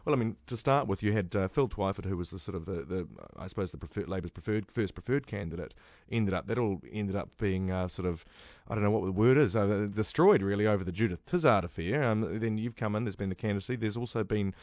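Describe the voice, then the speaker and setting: Fast-talking New radio co-host, dry close sound, rambling questions. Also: male voice, lowish voice, a little mellow, dry recording. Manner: rambling, searching for words.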